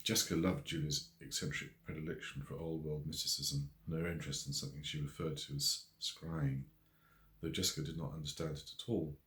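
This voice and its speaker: A man's voice: rumbling low, flat delivery, a little fast, a bit bright. A man reading scripted narration from his own novel as an audiobook. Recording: echoey room.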